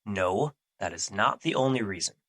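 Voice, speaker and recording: A man plays a stern, earnest personified emotion in an audio drama. The voice is bright and forceful, recorded dry and close. The phrasing is formal and stiff, with irritation never far off. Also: on the low side, lively intonation, normal speed, no echo. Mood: earnest, insistent.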